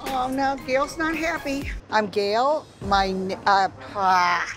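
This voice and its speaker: A woman's voice: a little high, slow pace, music in the background. A woman in her sixties from Missouri, a contestant and seamstress, talking in a flustered, self-deprecating and giggly way in a reality show confessional interview. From Missouri, USA. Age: 60s. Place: indoor studio by windows.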